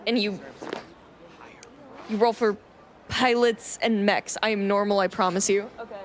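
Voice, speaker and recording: A woman's voice: mid-range voice, steady tone, unhurried, slight background noise. A woman streaming a game, casually recapping its story to viewers in a rambling, easily sidetracked and playful way.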